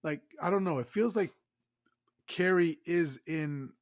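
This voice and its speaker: A man's voice: on the high side, unhurried. A man with a stuffed-up, nasal voice co-hosting a podcast in casual chat, enthusiastic and swearing freely. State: stuffed-up nose. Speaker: podcast co-host.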